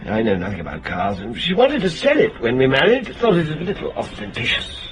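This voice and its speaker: A man's voice: medium pitch, huge pitch swings, fairly quick, a crowd in the background. A British actor playing a genteel, evasive male schoolteacher in a radio drama, with a formal, precious and hesitant way of speaking. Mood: genteel, reminiscing. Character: genteel, evasive schoolteacher courting his female investigator.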